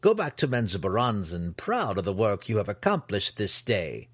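A man reading an audiobook, voicing a cold, threatening older male dark elf who speaks in a measured, menacing way. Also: fairly low pitch, sing-song, breathy edge, no echo. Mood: approving. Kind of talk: audiobook character voice.

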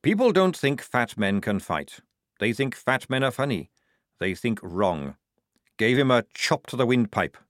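A man voicing a gruff, cunning, fat army sergeant with a growling delivery in an audiobook. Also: medium pitch, very animated, a little slow, a bit bright, no echo, studio quality. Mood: wry, unrepentant.

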